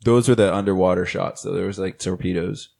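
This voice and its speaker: A man's voice: low voice, fairly even intonation, fairly quick, vocal fry, darkish tone. A man co-hosting an improvised comedy podcast, chipping in with dry remarks. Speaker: podcast co-host.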